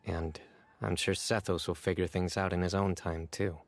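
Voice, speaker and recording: A man voicing a stern, composed young guardian in a game, measured, self-assured and deadpan, quietly fond of friends. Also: deep voice. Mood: reflective. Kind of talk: game voice acting.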